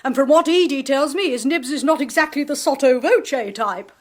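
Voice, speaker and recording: Bossy jolly English woman, high-pitched. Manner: brisk, bossy, jolly, teasing. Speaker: medium and clairvoyant. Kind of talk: 1980s radio drama acting.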